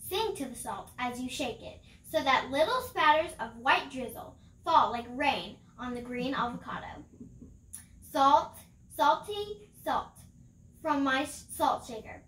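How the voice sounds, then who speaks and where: Girl, around ten, careful read-aloud of a picture book. High voice, slow pace, echoey home room. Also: sharp tone. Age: child, about 9 to 12. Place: small room at home, indoors. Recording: roomy home recording.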